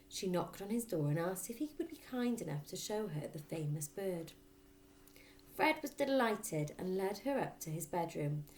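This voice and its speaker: A woman narrates a children's book with expressive teacherly delivery. Her voice is slightly low for a woman. The intonation swings widely and keeps things animated. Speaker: teacher reading aloud.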